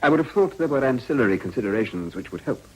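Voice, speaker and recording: A suave political gentleman in a British period drama. His upper-class English voice sits mid-range with very mobile intonation, and his delivery is measured, formal and insinuating. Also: medium pitch, animated, slightly rough, rough recording. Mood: dry, reproving.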